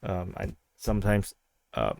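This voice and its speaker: A man hosting a vlog, giving casual commentary in a halting, rambling way full of restarts and fillers, his voice hoarse as he is losing it. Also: sits low, sing-song, a little mellow, no room sound. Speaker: vlog host giving news updates.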